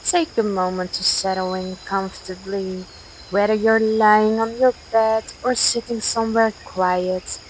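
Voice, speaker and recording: Slow-paced woman guiding a sleep meditation. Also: mid-range voice, low-quality recording. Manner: gentle, soothing, measured instruction with long pauses. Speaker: meditation guide. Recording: steady outdoor nature noise, crickets. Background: cricket and night insect ambience.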